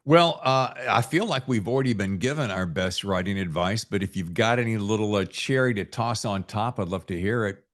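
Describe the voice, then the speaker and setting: A man's voice: lowish voice, moderate pace, few pauses. A man hosting a podcast in a casual, chatty way with a folksy, jokey manner. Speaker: podcast host.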